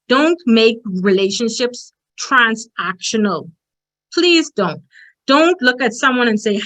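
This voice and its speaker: A woman's voice: mid-range voice, bright, forceful tone. A woman from the Bahamas with a strong Bahamian accent, giving a direct, emphatic motivational presentation as a conference speaker.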